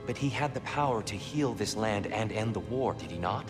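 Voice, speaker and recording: An actor playing a cunning old god disguised as a polite warrior, speaking in a courtly, formal way in a video game. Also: male voice, lowish voice, animated, normal speed, lightly acted. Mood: measured. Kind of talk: video game cutscene dialogue. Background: loud game music.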